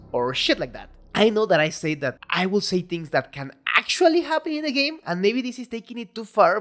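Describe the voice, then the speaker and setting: A woman with a non-native English accent, talking in an excitable, casual video commentary, swearing now and then and joking at her own expense. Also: lowish voice. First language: not English. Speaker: video essay host. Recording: faint background music.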